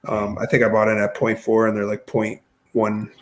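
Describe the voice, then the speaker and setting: A man's voice: fairly low pitch, completely flat delivery, normal speed. A man chatting casually as a co-host on a podcast. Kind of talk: casual chat.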